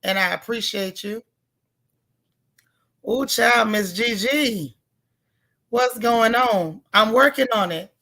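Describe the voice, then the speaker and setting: A woman hosting a casual live recap chat, with a loud, gravelly, hoarse voice, greeting viewers by name with folksy slang. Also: on the high side, slow, pauses often. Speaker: livestream host.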